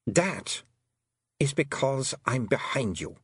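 A man voicing a haughty, cold fairy queen who talks in a silky, condescending, drawn-out way. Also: medium pitch, animated, fairly bright tone, husky, no room sound, studio quality, voice pushed up, full character voice. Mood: mocking.

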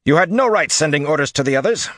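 A man's voice: very deep voice, moderate pace, no room sound, studio quality, full character voice. A man voicing a driven, angry male commander who snaps defiantly in an audiobook. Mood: defiant anger. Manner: snapping, forceful delivery.

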